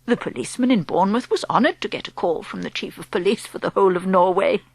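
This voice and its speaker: A woman voicing a gentle, wise grandmother in her eighties, speaking slowly in a soft, whispery hush. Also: medium pitch, gravelly, no echo. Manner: slow and hushed.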